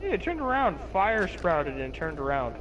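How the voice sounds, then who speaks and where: A man with a lisp chatting excitedly while gaming, cursing and yelping at what happens on screen. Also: a little high, normal speed, noisy background. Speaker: gamer. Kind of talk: excited gameplay chat.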